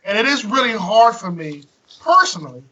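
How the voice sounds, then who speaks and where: A hoarse man co-hosting a casual talk show, joking and jumping in with quick remarks. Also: sits high, highs cut off. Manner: joking, animated, quick to interject. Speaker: co-host. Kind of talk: casual podcast chat.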